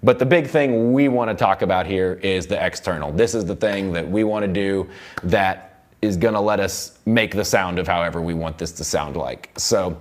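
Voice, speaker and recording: A young man teaching music production in a studio, explaining software in an informal, rambling way. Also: deep voice, normal speed. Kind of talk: casual software tutorial. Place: indoor recording studio.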